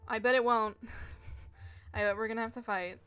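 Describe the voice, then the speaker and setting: A woman's voice: a little high, steady tone, moderate pace, bright, forceful tone, smooth. Chatty, rambling woman streamer. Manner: chatty, rambling, laughs often. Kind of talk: casual live game commentary. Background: game music and keyboard typing.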